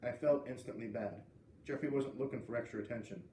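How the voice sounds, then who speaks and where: A man's voice: medium pitch, fairly quick, warm tone. Man reading aloud in an echoey room. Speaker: read-aloud storyteller. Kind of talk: expressive children's book read-aloud. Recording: roomy, distant mic with faint noise.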